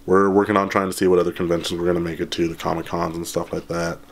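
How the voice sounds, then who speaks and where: A man in his thirties, slightly hoarse after being sick, chatting casually and rambling with lots of ums and laughs, holding a camera at arm's length in a small room. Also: sits low, completely flat delivery, fast. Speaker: channel host. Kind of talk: casual update vlog. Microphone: handheld camera at arm's length.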